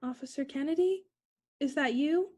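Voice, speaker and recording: Scared young woman played by an actress in an old-style radio play, super high voice, frantic and breathless, talking to herself. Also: moderate pace, mellow, lightly acted. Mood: nervous alarm. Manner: breathless, frantic self-talk in short repeated bursts. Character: terrified young woman fleeing home alone at night. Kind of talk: 1940s radio play acting.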